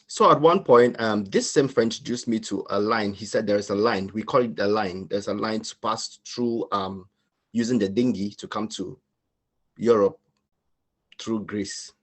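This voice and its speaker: Earnest low-voiced man. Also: husky. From Cameroon. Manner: halting, earnest, with restarts. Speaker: panelist sharing his asylum story. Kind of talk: personal testimony.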